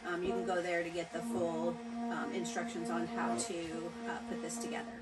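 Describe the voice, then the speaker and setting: A woman's voice: fairly low pitch, flat delivery, moderate pace, over music. A woman in her sixties gives a casual step-by-step sewing explanation indoors in a small boat cabin, with many ums. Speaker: sewing tutorial presenter.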